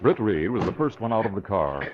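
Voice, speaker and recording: Casting: male narrator, deep voice, 1940s radio storytelling style, muffled vintage sound. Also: sing-song, brisk, over music. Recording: dull, faintly noisy old radio recording.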